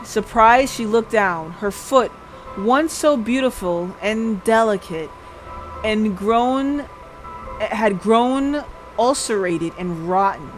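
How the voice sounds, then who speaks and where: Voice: mid-range voice, expressive, slow pace, faint music behind, rough recording. A game streamer reading a visual novel aloud as dramatic narration, sometimes stumbling and rereading.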